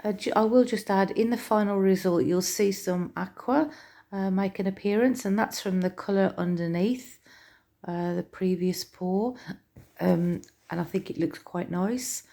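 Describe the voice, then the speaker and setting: Aussie lady with a croaky voice, slow and mellow, chatting about her painting. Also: medium pitch. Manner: relaxed, unhurried, with fillers and restarts. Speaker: fluid art vlogger. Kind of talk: casual voice-over explaining a painting.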